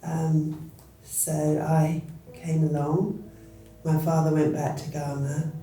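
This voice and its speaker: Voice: mid-range voice, flat delivery, slow, dark tone. A person from England telling a family story hesitantly and with emotion in a documentary interview. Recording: echoey distant mic, faint music.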